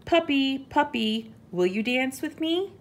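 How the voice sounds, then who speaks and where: A woman's voice: high-pitched, animated, takes it slowly, slight background noise, voice pushed up, heavily acted. A woman voicing a small, eager male mouse in a children's storybook, pleading in a singsong way. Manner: singsong, pleading, repeats names.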